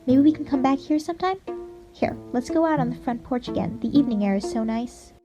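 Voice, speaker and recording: Woman acting a confident girl in a radio play, highish voice, bit of music behind. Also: lively intonation, brisk, dull audio. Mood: breezy, confident. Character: confident, breezy girl, quick to reassure.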